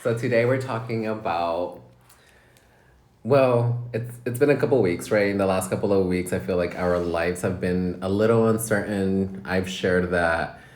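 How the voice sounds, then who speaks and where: A man's voice: sits low, measured pace, a little mellow, a little hiss or hum. A man co-hosting a casual podcast, telling candid personal stories and laughing often. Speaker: podcast co-host. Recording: echoey room.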